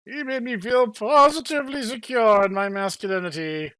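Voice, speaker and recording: A man's voice: very high-pitched, moderate pace, fairly bright tone, clean tone, no room sound, pitched above the performer's own voice. A man voicing a portly, frank townsman in a bar. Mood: smug, frank.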